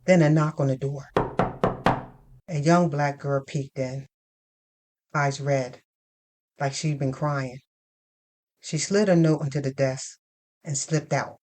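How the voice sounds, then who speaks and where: Low, slow-paced woman narrator. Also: fairly even intonation, darkish tone, slight background noise. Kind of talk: scripted first-person audio fiction narration.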